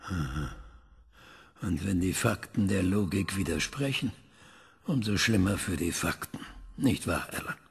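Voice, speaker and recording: An actor playing an obsessive older male scientist who questions an AI in a calm, measured, probing tone, in a radio play. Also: deep voice, gravelly, breathy edge, big-room sound, exaggerated voice.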